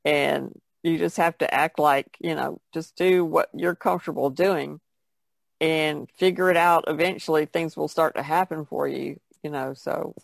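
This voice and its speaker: Very creaky-voiced woman chatting. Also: low voice, steady tone, a little slow, no echo. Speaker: artist on a podcast panel. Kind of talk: casual chat.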